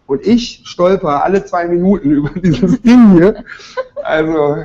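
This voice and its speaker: Chatty high-voiced male cooking host. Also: lively intonation, unhurried, warm tone, highs cut off. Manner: chatty, joking, interviewing guests. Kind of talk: casual studio chat while cooking. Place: TV kitchen studio.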